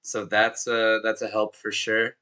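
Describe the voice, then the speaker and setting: Hoarse, upbeat man hosting a music show. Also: lowish voice, completely flat delivery, measured pace, pushed and bright. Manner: upbeat, pumped-up and chatty. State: hoarse, has lost his voice. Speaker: show host.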